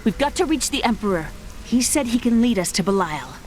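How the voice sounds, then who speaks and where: A woman's voice: fairly high pitch, big pitch swings, brisk, background noise. An actress playing a brave young woman in a video game, speaking urgently and directly. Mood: earnest. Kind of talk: video game cutscene acting. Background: game music.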